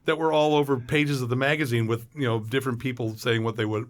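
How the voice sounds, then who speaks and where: Middle-aged man telling animated anecdotes. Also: medium pitch, fast talker. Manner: animated storyteller who acts out quotes. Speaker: podcast guest, voice actor and former impersonator. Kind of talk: anecdotal interview chat.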